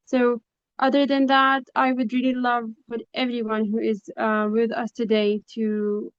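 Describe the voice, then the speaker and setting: Even, high-pitched young woman. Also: a little slow, smooth, no echo. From Lebanon. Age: young adult. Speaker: guest speaker, project coordinator. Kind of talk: informal self-introduction at a webinar. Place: online video call. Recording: dry but dull, lo-fi video call.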